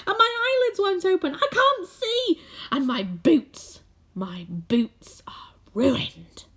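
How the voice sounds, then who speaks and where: A woman voicing a fussy, boastful male centipede in a storybook reading, with a shrill, wailing manner. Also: sing-song, a little slow, a bit bright, heavily acted. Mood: panicked. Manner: shrill, wailing, squeals in distress. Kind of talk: storybook read-aloud.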